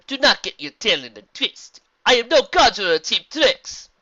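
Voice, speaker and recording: A woman voicing a wise, calm zebra sorceress who speaks in deliberate, formal rhymed phrases. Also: fairly low pitch, no room sound, muffled recording, pitched above the performer's own voice. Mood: stern.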